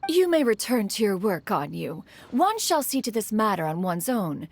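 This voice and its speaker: A woman's voice: medium pitch, big pitch swings, normal speed, a little hiss or hum. An actress voicing a proud, aloof immortal woman in a video game, speaking in formal, archaic, haughty phrases. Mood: stately.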